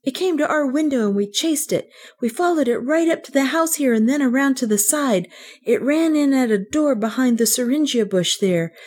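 An earnest, determined little boy from a storybook, acted out by a woman narrator. The voice sits high and swings up and down as he pleads urgently, troubled but insistent. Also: lively intonation, normal speed, darkish tone. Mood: eager, explaining.

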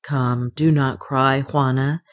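A grim, steady adventurer of a man, acted by a woman narrator. He speaks in a low register, gravely and firmly, with a kindly streak, as in an audiobook tale. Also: takes it slowly. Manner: grave, firm. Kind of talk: dramatised audiobook dialogue.

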